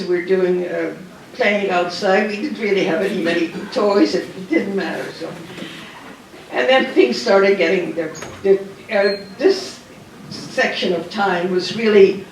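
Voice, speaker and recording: An older man with a high, gravelly voice tells a personal story in a halting, rambling way, full of restarts. He sits back from the microphone, and the hall around him rings with strong echo. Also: a little hiss or hum. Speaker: guest speaker. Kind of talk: personal wartime memoir talk. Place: large hall at a dinner event. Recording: echoey hall heard from a distance.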